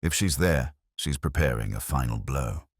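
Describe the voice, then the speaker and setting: A resolute Victorian detective played by a male narrator, with an extremely low voice. He speaks in terse, formal phrases that rise and fall with solemn weight, as in a storybook mystery. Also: animated, normal speed, breathy edge, no room sound, studio quality, exaggerated voice. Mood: grave resolve.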